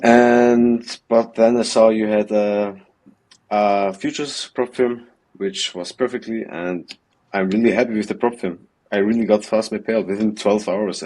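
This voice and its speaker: A young man of eighteen with an Austrian German accent and a lowish voice. He answers questions haltingly, full of ums and restarts, speaking over a video call. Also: a little mellow. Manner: hesitant, halting, with many ums. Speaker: guest, student trader. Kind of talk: remote interview answers.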